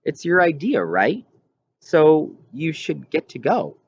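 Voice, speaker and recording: Loyal kid best friend for an audiobook played by a man. Eager, caring, higher-pitched, slightly dull recording. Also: very animated, takes it slowly, slightly rough, no echo, full character voice. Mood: sympathetic. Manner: eager, questioning, sympathetic. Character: loyal, eager young boy, the narrator's friend.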